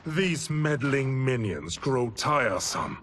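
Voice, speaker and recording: A man's voice: mid-range voice, animated, a bit bright, slight background noise. A man playing a grim, haughty sorcerer in a video game cutscene, speaking formally and with cold disdain. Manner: formal, archaic, deliberate. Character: grim, haughty male sorcerer, coldly wrathful.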